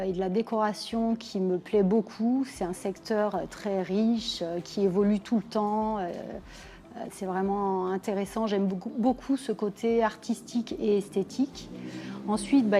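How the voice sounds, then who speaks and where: A woman in her forties from Lyon, thoughtfully telling her career story in a measured way with frequent pauses. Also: mid-range voice, warm tone. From Lyon, France. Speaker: business owner interviewee. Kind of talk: personal career account to camera.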